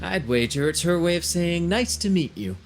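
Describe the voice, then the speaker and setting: A flamboyant sea captain from a video game, genial and grand. His delivery is highly animated and showy, with florid phrasing. Some ambient noise sits behind the voice. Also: normal speed. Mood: genial, amused. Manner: grand, showman-like, flowery phrasing. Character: flamboyant, genial male ship captain and showman. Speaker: video game character voice actor. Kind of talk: theatrical video game dialogue.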